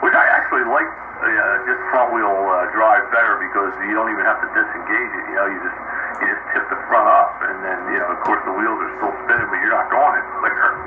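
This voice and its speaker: A man rambling in a folksy, halting way, chatting casually over a radio link. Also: on the low side, fairly even intonation. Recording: dull radio link, loud static.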